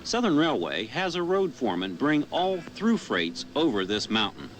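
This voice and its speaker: A man's voice: sing-song, moderate pace. A man delivering scripted narration for a training film, as its narrator. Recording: clear train noise and horn behind. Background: train rumble and horn.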